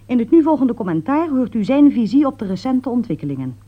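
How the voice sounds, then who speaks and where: A woman's voice: a little high, big pitch swings, fast. Lilting, poised female radio announcer. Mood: formal, poised. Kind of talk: scripted radio introduction in a radio play. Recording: dull, lo-fi radio play recording.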